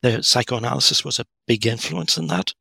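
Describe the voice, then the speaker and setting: A middle-aged man talks through his ideas in a thoughtful, halting way, often restarting his sentences. His voice is very gravelly and a little husky, with a bright, forceful edge. The recording is close and dry. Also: mid-range voice, no room sound. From Northern Ireland. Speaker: guest author. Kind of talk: podcast interview.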